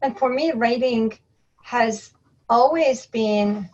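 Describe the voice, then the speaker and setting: A woman whose first language is not English, a guest on an education podcast, speaking in a reflective, measured way. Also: fairly high pitch, darkish tone, lo-fi sound. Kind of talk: podcast interview.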